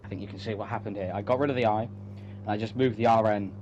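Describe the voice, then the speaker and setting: A man's voice: fairly low pitch, fairly even intonation, very fast. A man chatting casually as the author at a table read of his own story, explaining it in rambling asides. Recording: muffled lo-fi mic, loud background hum.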